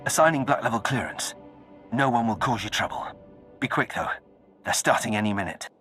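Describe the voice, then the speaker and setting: A man's voice: medium pitch, expressive, brisk, a bit bright, faint music behind, lightly acted. An actor playing a brusque male military messenger in a video game, talking in clipped, terse, businesslike phrases. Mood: brisk, urgent. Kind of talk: scripted game cutscene acting.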